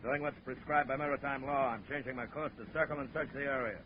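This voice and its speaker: A muffled old radio recording with faint noise carries a domineering ship's officer, played by a man. His middle-pitched voice is curt, commanding and dismissive, in vintage radio drama style. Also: steady tone, fast, natural voice. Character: gruff, domineering, threatening male ship's officer. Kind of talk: 1950s radio drama acting.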